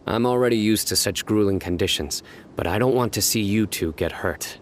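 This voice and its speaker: Man playing a grave game investigator, low voice, terse, deadpan, vigilant, faint rumbling noise. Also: close to an everyday voice. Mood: calm, reassuring. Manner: serious, measured, deadpan. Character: stern, composed young male investigator, terse and vigilant. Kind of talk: video game character acting.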